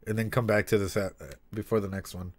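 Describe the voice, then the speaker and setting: Casting: male streamer with a lowish voice, reading aloud and reacting casually, animated and loose with asides. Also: fast. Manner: animated, profane, frequent asides. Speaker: streamer and psychologist commentator.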